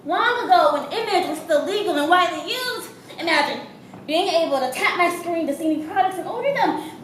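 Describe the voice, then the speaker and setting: A woman acting a naive, excitable young woman in a stage play, giggly and chatty, with theatrical delivery. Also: very high-pitched, a little fast. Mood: wistful, wondering. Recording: echoey noisy theater, distant mic.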